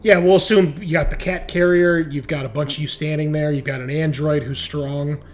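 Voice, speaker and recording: A man's voice: on the high side, steady tone, normal speed, background noise. A man running a tabletop roleplaying game, improvising descriptive narration and calling out rules. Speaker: game master.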